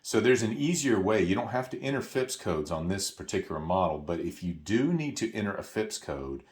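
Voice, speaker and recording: A man's voice: on the low side, big pitch swings, brisk. A man presenting an unscripted step-by-step product walkthrough, explaining in a hesitant way and correcting himself as he goes.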